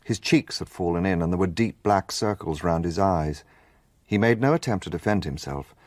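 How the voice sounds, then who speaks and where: An actor playing an earnest, romantic gentleman who narrates and acts as a detective's companion in a radio drama. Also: sits low, moderate pace, no room sound, clean studio sound, close to an everyday voice. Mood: reflective narration. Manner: earnest, expressive.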